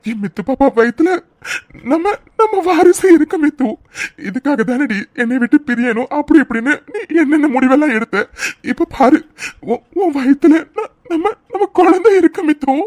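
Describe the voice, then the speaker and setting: Excitable young husband and father-to-be, played by a woman: high voice, stammering and gushing, dry audio-drama delivery. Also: normal speed, no room sound. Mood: tearful joy. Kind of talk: emotional serial-style audio drama acting.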